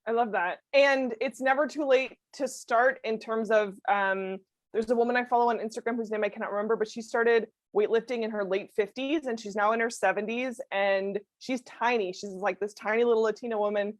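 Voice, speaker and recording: A woman hosting a casual interview over a video call, enthusiastic and quick to laugh. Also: on the high side, fairly even intonation, no room sound. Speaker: interview host.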